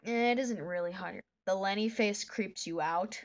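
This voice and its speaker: A woman streaming live, chatting with viewers in a soft, meandering way while she draws, with a voice that is deep for a woman. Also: normal speed, a little hiss or hum. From northern United States. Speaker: livestreamer. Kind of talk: casual chat while drawing on a livestream.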